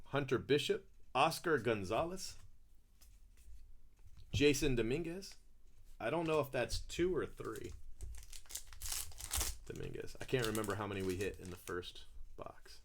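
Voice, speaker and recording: A man's voice: mid-range voice, lively intonation, a little slow, pauses often. A man hosting a live trading-card break, chatting casually and calling out each card as he opens packs. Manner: chatty, calls out card names while opening packs.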